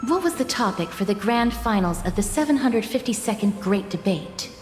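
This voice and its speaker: A woman's voice: medium pitch, animated, a little mellow, natural voice. Solemn, guarded woman in echoing hall, acted. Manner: stern, formal, measured. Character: guarded, solemn young woman, wary and formal protector. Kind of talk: video game voice acting. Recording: reverberant hall echo. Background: music.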